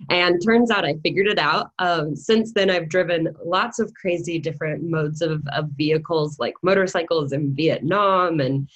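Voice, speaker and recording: Podcast guest: American woman, 30s, low register, conversational storyteller. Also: moderate pace, few pauses, poor audio. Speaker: podcast guest, real estate investor.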